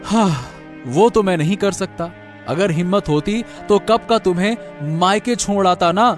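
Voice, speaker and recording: A helpless village husband from an animated moral tale, acted by a man. He complains and pleads in a high voice, with soft music underneath. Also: a little slow. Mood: exasperated. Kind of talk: Hindi animated moral story dialogue.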